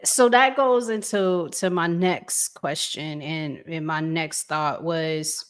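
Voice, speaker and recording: A woman hosting a podcast, putting questions in a casual, thoughtful and measured way. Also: low voice, clean tone. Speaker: podcast host. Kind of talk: casual conversational interviewing.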